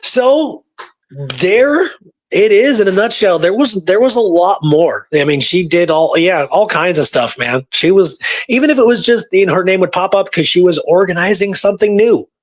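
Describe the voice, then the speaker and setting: A man's voice: sits high, normal speed. A man telling stories in a casual, informal, colloquial way, as a guest researcher in a conversation.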